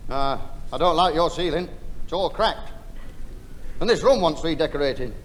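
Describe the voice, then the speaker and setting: Actor as tactless grumbling man, lively pitch swings, blunt, vintage radio sitcom, reverberant recording. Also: normal speed, natural voice. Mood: disparaging. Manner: blunt, complaining. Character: rude, fault-finding, tactless house-hunting man. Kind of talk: 1960 radio sitcom acting. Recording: noisy echoing 1960 radio recording.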